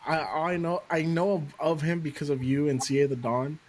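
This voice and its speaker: A man's voice: a little high, fairly even intonation, fairly quick, a little mellow, a little hiss or hum. A man hosting a podcast, chatting casually and enthusiastically, swearing freely. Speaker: podcast host. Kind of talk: casual interview chat.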